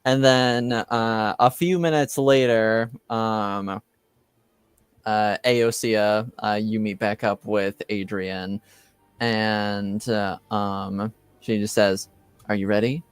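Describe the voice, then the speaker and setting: A man narrating a tabletop game as game master, pausing often as he works out the scene. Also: lowish voice, fairly even intonation, clear, resonant tone, no echo. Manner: hesitant, pausing delivery.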